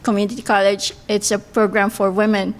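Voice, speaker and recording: A woman's voice: medium pitch, little pitch movement, a little fast. A middle-aged woman from the Philippines with a Filipino accent, speaking English as a second language in an earnest, careful candidate speech at a podium mic in a banquet room. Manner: earnest, careful, with hesitant fillers. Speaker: political candidate. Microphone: podium microphone.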